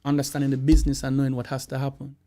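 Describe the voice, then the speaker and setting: A man with a Jamaican accent, a songwriter and producer guest, talking casually in an interview, animated and slipping into patois. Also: medium pitch, racing. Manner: animated, slips into patois, acts out quoted voices.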